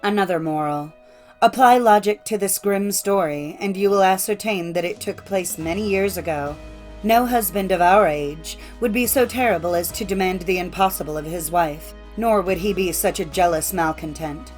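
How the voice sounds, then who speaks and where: A woman's voice: fairly low pitch, moderate pace, darkish tone, soft background music. A woman narrating a scripted fairy tale as an audiobook, in a formal storytelling manner.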